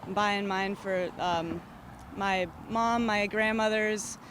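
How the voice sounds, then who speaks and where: Flat-toned young woman, noisy roadside. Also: medium pitch, slow. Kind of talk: casual street interview. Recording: noisy outdoor roadside with vehicles.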